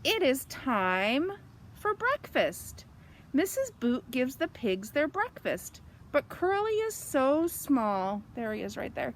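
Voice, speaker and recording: A woman with a high voice reads to young children in an expressive, animated way. Her pitch swings widely and she takes her time, explaining things simply. There is faint noise behind her. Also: takes it slowly. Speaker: children's story reader. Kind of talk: animated read-aloud of a children's picture book.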